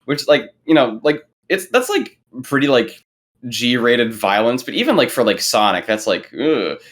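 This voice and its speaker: A man's voice: mid-range voice, very animated, measured pace, fairly bright tone. A man chatting casually on a podcast panel, rambling along and leaning heavily on filler words. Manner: rambling, filler-heavy with frequent "like". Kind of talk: casual chat.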